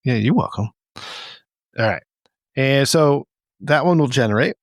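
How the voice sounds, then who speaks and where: A man's voice: medium pitch, lively intonation, unhurried, no room sound, clean studio sound. A man hosting a casual comedy podcast, moderating a game with friends in loose group banter.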